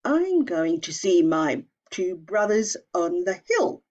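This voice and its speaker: A woman's voice: on the low side, big pitch swings, slow. A woman playing a bold, fearless big billy goat. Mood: defiant. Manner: loud, confident and defiant. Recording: dull lo-fi recording.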